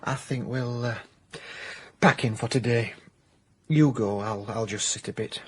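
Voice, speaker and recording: A soft-hearted Yorkshire private eye in a radio comedy drama. His voice is husky and closely, drily recorded, and he talks with wistful, hesitant self-importance. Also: medium pitch, steady tone, a little slow, no room sound. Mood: wistful, glum. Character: hapless, sentimental Yorkshire private investigator, pompous but soft-hearted. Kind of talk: comic radio drama acting.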